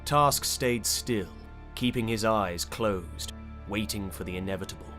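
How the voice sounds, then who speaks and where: A man narrating a fantasy audiobook in a measured, deliberate and dramatic style. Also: on the low side, sharp tone, faint music behind.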